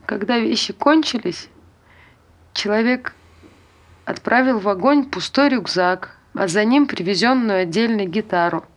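A woman reading scripted literary narration for an audio play, telling the story in measured short phrases. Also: mid-range voice.

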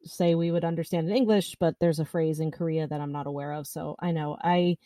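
A woman co-hosting a podcast, thinking aloud in animated casual chat. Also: sits low, fairly quick, no echo, studio quality. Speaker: podcast co-host.

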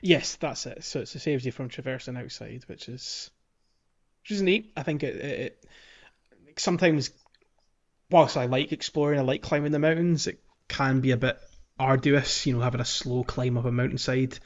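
A man's voice: mid-range voice, normal speed, muffled recording. A man co-hosting a casual video game podcast, talking in a hesitant way as he searches for his words. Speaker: podcast co-host.

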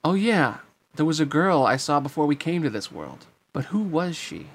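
A man's voice: fairly high pitch, big pitch swings, moderate pace, pitched above the performer's own voice. A man voicing a plucky, earnest boy hero in an eager, emphatic way for a storybook reading. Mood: wistful.

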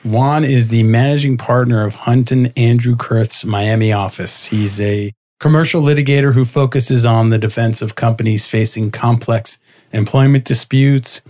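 An upbeat man co-hosts a podcast, reading a guest's introduction and asking questions in a friendly tone. His voice sits at a middling pitch for a man. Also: fairly even intonation, moderate pace, darkish tone. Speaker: podcast co-host. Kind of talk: podcast hosting and interviewing.

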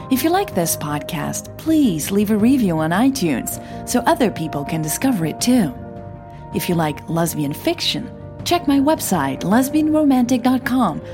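A woman with a husky, breathy, creaky voice narrating scripted audio fiction as a podcast host. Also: mid-range voice.

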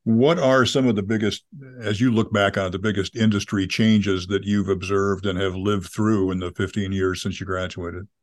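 A man's voice: lowish voice, fairly even intonation, moderate pace, few pauses, no echo. A man interviewing a journalist, asking long questions with hesitant restarts. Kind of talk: campus podcast interview.

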